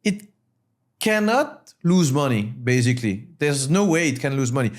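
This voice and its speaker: A man's voice: mid-range voice, expressive, moderate pace. A man from Mauritius speaking as an interview guest, an entrepreneur explaining his views in short, emphatic, repeated phrases. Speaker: guest, startup founder and CEO.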